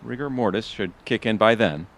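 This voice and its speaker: A man acting an excitable, melodramatic husband in an audio theatre comedy, with dramatic, exclamatory delivery. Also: fairly low pitch, normal speed, no room sound, natural voice. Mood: sad, determined. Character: excitable, melodramatic husband grieving his pet pony.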